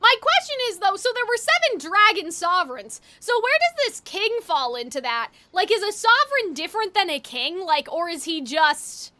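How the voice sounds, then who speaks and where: A woman's voice: extremely high pitch, sing-song, moderate pace, few pauses, sharp tone. A woman game streamer chatting casually, musing aloud in a rambling way over game music. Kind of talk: casual livestream chat. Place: livestream over a video game.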